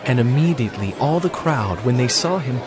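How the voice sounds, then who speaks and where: A man's voice: mid-range voice, animated, fairly quick, crowd noise. A man narrating scripture from a script as the storyteller of a dramatized audio reading.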